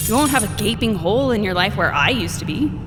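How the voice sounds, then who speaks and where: A woman's voice: medium pitch, lively intonation, fast talker, natural voice. A woman playing a gleeful heart-eating deity in a comedic horror audio drama, coy and taunting. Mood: pleading, reasonable. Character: heart-devouring female deity posing as sacrificial victim, gleeful. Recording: long hall reverb under loud music.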